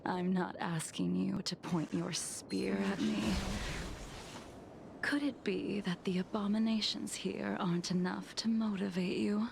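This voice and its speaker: A woman voicing an aloof, stern swordswoman who speaks in a cool, measured, quietly mocking way. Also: medium pitch, steady tone, gravelly, noisy background. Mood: taunting. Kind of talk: video game voice acting. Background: game music.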